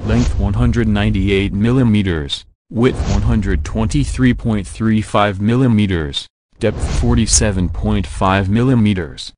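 A man with a lowish voice narrates a technical product walkthrough. He reads specs and terminal lists one after another in an even, list-like cadence. The recording is close and dry, with no room sound. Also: no echo. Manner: flat list-like delivery of specs. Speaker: channel narrator.

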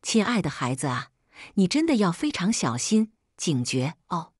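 Woman reading as grave, husky temple high priest. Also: very animated, moderate pace, dry recording, studio quality. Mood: earnest warning. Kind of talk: scripted audiobook narration.

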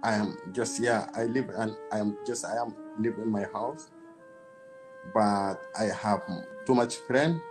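A young man talking in simple, halting English on a video call while lying in bed, using a webcam mic. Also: fairly low pitch, music in the background, low-quality recording. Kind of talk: casual video chat. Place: bedroom, lying against a pillow.

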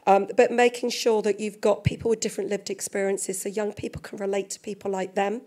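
A middle-aged woman with a slightly croaky, losing voice talks on a seminar panel. Her tone is mellow and dark, measured and steady, picked up by a handheld microphone in a museum event room. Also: medium pitch. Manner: measured, speaks through a handheld mic. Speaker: panelist, head of talent. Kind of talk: panel discussion remarks. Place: museum event space with a live audience.

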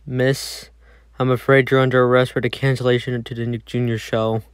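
A man's voice: medium pitch, flat delivery, normal speed, smooth, no room sound, studio quality. A man acting out voice lines as a menacing, gleeful cartoon police dog, theatrical and taunting. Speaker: amateur voice performer. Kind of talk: acted cartoon villain voice lines.

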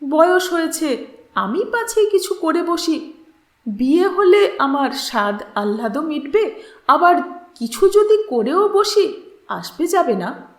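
A woman's voice: high-pitched, very animated, slow, roomy sound, voice pushed up, heavily acted. A woman voicing a bitter, distressed young woman, emotional, scornful and pleading, in a story reading. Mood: frustrated.

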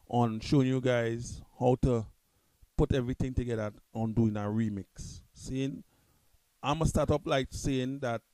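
A man with a Trinidadian accent, talking loosely through a music software tutorial at his computer, repeating himself and restarting often. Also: mid-range voice, dry recording. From Trinidad and Tobago. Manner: loose, repetitive, restarts a lot. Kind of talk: casual screen-recorded software tutorial.

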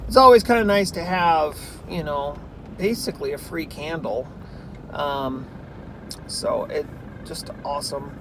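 A man's voice: a little high, a little slow. A man in his thirties chatting casually on a vlog while driving, rambling with lots of ums and restarts, filmed by a dashboard camera inside his car. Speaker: vlogger. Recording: loud road and engine noise. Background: car road noise.

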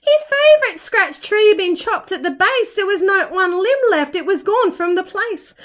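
Kindy teacher lady reading a rhyming picture book, really high voice, sing-song and dramatic. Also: animated, moderate pace, fairly bright tone. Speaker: early-childhood teacher reading aloud. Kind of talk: children's storybook narration in rhyme.

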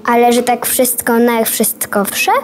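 A woman's voice: a little high, little pitch movement, a little slow, a little hiss or hum, natural voice. A woman playing a polite, curious and unsure little girl in a children's radio play. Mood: curious, pleading.

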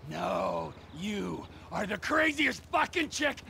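A man's voice: high voice, very animated, takes it slowly, creaky, bright, forceful tone, exaggerated voice. An actor playing a lovestruck, unhinged, desperate man in a video game cutscene, pleading and over-dramatic. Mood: manic, smitten. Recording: noisy game scene ambience.

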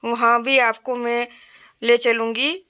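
A woman's voice: fairly high pitch, flat delivery, takes it slowly, bright, forceful tone, smooth. A middle-aged woman from Himachal Pradesh, India, chatting plainly with fillers while filming a shop walkthrough on her phone. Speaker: vlogger. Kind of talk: casual shop walkthrough vlog. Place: indoor retail store.

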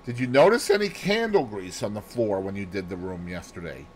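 Man playing a placid male sleuth, deliberate and fussy, lively intonation, audiobook dialogue, some background noise. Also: fairly low pitch, natural voice. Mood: methodical questioning. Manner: precise, deliberate, peppered with French phrases. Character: fussy, placid Belgian male detective, growing old.

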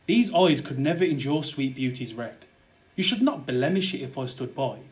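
A man's voice: medium pitch, animated, moderate pace, lightly acted. A young man playing a scheming, murderous nobleman in a verse audio drama, wooing ardently and persuasively. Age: young adult. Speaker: student actor.

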